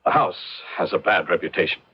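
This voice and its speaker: Real estate agent, low and raspy, frank but uneasy, vintage radio drama. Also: male voice, a little fast, lo-fi sound. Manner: hesitant, frank. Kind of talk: old-time radio drama acting.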